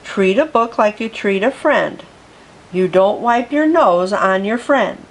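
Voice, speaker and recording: A woman's voice: mid-range voice, lively intonation, slow pace, rough recording. A woman reading aloud, voicing a strict female school librarian who was once a dragon and lectures the children on library rules. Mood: stern.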